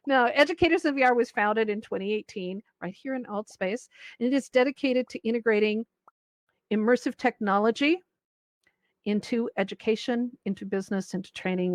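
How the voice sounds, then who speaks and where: A woman's voice: fairly high pitch, no echo. A woman hosting an event, introducing a panel to an audience in an enthusiastic, emphatic way. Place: live virtual reality event, voice picked up close on a mic.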